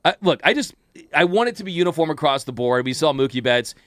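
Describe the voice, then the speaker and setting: Lively, laughing sports radio man. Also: fairly high pitch, quick pace, dry recording, clean studio sound. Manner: lively, laughing often, making his case. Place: radio studio. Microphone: studio microphone.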